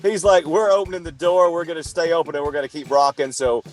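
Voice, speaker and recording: A man's voice: a little high, fairly even intonation, quick pace, faint music behind. A man co-hosting a podcast interview, easygoing and joking in casual chat. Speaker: podcast co-host. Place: United States.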